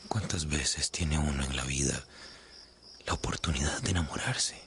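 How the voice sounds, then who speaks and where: An actor playing an ardent man madly in love, breathy and intimate, in a romantic radio drama. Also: very deep voice, moderate pace, fairly bright tone, a little hiss or hum.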